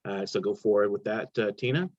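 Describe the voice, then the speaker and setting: Low-voiced man hosting, halting questions. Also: darkish tone, lo-fi sound. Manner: halting, many restarts. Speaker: host. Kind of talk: hesitant interview questions.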